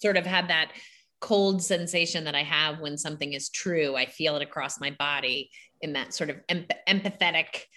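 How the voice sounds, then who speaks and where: A woman's voice: low-pitched, normal speed, pushed and bright. A woman hosting a conversational podcast, talking earnestly and reflectively in flowing asides. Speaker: podcast host. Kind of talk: casual conversation.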